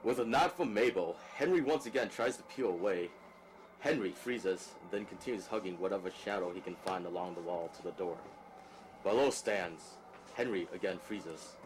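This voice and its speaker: A man's voice: sits low, normal speed, low-quality recording. A man narrating a drama script, reading out scene action as scripted narration. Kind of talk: scripted narration of stage directions. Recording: clear background noise.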